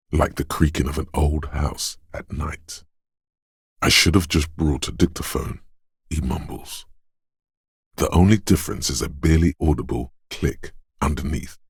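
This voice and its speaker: A very deep, husky male inner voice murmurs slowly in a game. Each detail is laid out in a hushed, unhurried way, close to the ear. The studio sound is dry, with no room around it. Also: no echo, heavily acted. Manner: slow, hushed, deliberate. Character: inner perception voice, male, watchful and intimate. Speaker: voice actor. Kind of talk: game voice-over lines.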